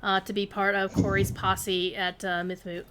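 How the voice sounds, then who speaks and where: A woman's voice: mid-range voice, little pitch movement, moderate pace, fairly bright tone, a little hiss or hum. An American woman hosting a livestream of an online game, chatting casually with viewers and fellow players. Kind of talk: casual live gaming chat.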